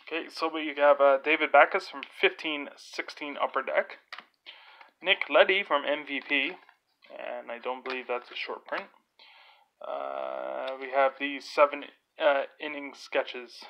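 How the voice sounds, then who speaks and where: A man's voice: mid-range voice, lo-fi sound. A man with a stuffed-up nose, casually talking through a hockey card pack opening, with lots of uh fillers. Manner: rambling, lots of "uh" fillers, naming cards as he goes.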